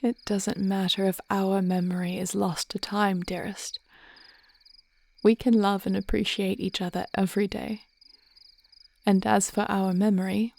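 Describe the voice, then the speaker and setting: A woman playing a thoughtful, devoted dragon queen wife in an intimate fantasy audio roleplay, speaking in measured, formal, tender phrases. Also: medium pitch, gravelly, a little mellow. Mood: tender, loving.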